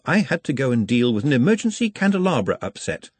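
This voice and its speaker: A polite, nervous young man from a storybook, deferential and very formal, as voiced by a man. His words come carefully, with a husky, breathy tone. The sound is close and dry. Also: medium pitch, sing-song, fast talker, dry recording.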